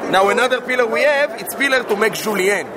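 A man's voice: high voice, quick pace. A man with an Israeli accent, speaking broken English as he eagerly demonstrates his own kitchen peeler at a trade show. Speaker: product inventor at trade show. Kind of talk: casual interview. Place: busy trade show floor. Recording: crowd and noise of busy expo.

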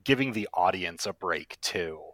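A man's voice: low voice, animated, moderate pace, a bit bright, no echo. A man chatting casually about a tabletop session, rambling with lots of filler words. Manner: rambling, lots of likes and ums. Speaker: player. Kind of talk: casual podcast chat. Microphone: video call.

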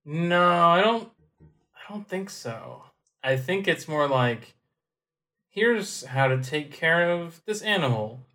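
Podcast co-host, male, higher voice, very lively, dry joker. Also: slow. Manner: dry, joking, laughs often. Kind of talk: casual chat.

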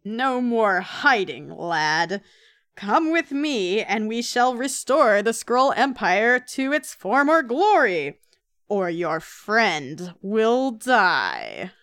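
A woman acts out a menacing alien invader from a comic book. He is pompous and imperious, stretching out his commands with theatrical weight. The sound is smooth and dry. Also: fairly high pitch, sing-song, a bit bright, no room sound, heavily acted. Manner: pompous, commanding, drawn-out delivery.

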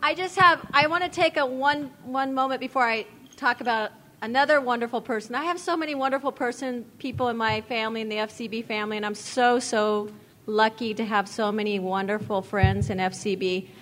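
A woman's voice: a little high, fairly even intonation, brisk. Effusive woman presenting awards. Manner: warm, effusive, repeats words. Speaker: organization president presenting awards. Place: meeting hall. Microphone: podium microphone.

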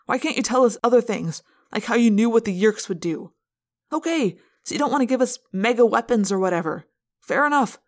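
A woman's voice: a little high, lively intonation, brisk, pitched above the performer's own voice. Woman as young male leader, storybook character, fair-minded, reasoning, questioning. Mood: measured challenge.

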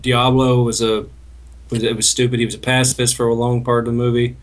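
A man's voice: mid-range voice, monotone, fairly quick. A man in a casual podcast chat, speaking in a hesitant, understated way. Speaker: podcast co-host.

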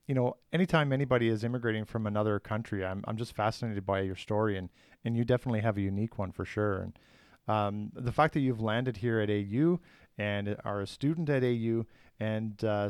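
A man's voice: fairly low pitch, darkish tone, clean tone, no echo, studio quality. A man hosting a podcast in a chatty, upbeat way, with many fillers. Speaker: podcast host.

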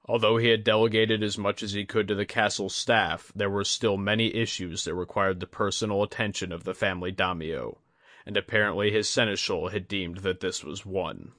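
Low-pitched male story narrator. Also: a bit bright, dry recording, clean studio sound. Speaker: audiobook narrator. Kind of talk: scripted fantasy story narration.

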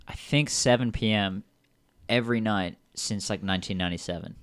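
Australian man, podcast host, blunt deadpan chat, crisp voice. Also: fairly low pitch, brisk, dry recording, clean studio sound.

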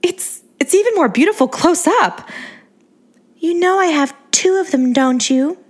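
A woman voicing a self-absorbed female celebrity who coos in a high, childlike sing-song. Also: normal speed, close to an everyday voice. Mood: cooing.

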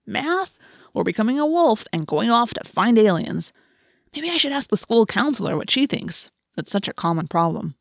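A woman's voice: lowish voice, brisk, vocal fry, no room sound, studio quality. A woman voicing a sarcastic, grumbling, wisecracking teenage boy in an audiobook. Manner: sarcastic, complaining. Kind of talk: audiobook character voice.

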